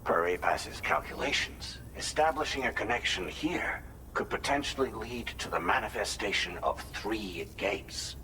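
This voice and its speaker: A male mission adviser from a sci-fi video game, delivering findings formally and deliberately. His voice sits fairly low with a bright, forward edge. Some noise runs behind his measured explanations. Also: lively intonation, rough recording. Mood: measured, grave. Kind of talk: scripted game briefing dialogue.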